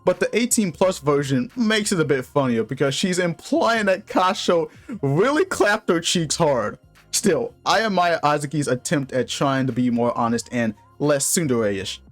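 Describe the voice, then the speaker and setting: A man's voice: fairly high pitch, lively intonation, moderate pace. A man giving a casual, opinionated review and story recap with informal, slightly crude slang. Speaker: video game reviewer. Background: music.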